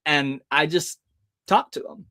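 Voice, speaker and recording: A man's voice: fairly high pitch, takes it slowly, sharp tone, no room sound, clean studio sound. A man in his thirties from the United States, a hypnotist guest telling stories in an interview and acting out the people in them. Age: 30s. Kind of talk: storytelling in an interview.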